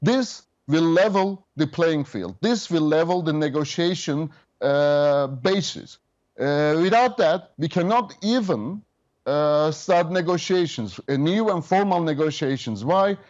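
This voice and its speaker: A man in his fifties, a government representative speaking over a remote link, measured and official with frequent hesitations. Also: on the high side, animated, darkish tone, lo-fi sound. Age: 50s. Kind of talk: remote interview guest.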